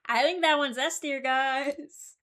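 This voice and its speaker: A woman's voice: high voice, slow pace, a bit bright. Female YouTuber for casual ranking commentary; frank, rambling, hesitant, mock-serious. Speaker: YouTuber ranking game voice lines.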